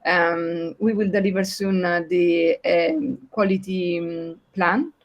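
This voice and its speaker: A woman speaks English with an Italian accent, her voice sitting a little low for a woman. She presents slides to an online meeting, halting often with filler sounds as she searches for words. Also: dull audio. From Italy. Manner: hesitant, many filler sounds and restarts. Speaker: project partner presenting at a meeting.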